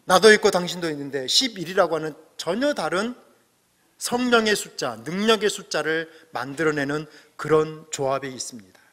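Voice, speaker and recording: Male pastor, middle-aged, bright tone, church hall reverb; earnest, swings between emphatic peaks and soft asides. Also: on the high side, lively intonation, normal speed. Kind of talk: sermon. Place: church sanctuary.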